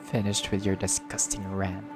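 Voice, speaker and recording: A man's voice: sits low, monotone, normal speed. A man playing through a visual novel, reading the game text aloud dramatically and reacting casually as he goes. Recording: loud music under the voice. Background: game music.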